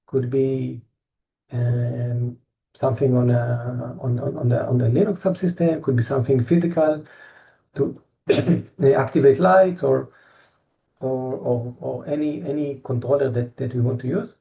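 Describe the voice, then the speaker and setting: A middle-aged man with an Israeli accent giving a technical presentation, halting often with fillers and restarts. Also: medium pitch, steady tone, creaky, dark tone, poor audio. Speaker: tech company presenter.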